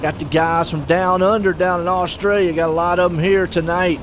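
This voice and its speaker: Casting note: chatty male sim race host, slightly high voice, loud engine noise behind him. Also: flat delivery, normal speed. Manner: chatty and folksy, jokes with his co-host. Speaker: race commentator and stream host. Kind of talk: casual sim racing commentary. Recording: noisy broadcast mix with engine noise.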